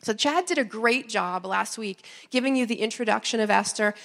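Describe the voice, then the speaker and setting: A woman's voice: on the high side, moderate pace, creaky, a bit bright. A woman in her forties with a summer cold, preaching a humorous storytelling sermon into a handheld mic in a church. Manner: self-deprecating, chatty humor. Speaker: guest preacher. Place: church sanctuary.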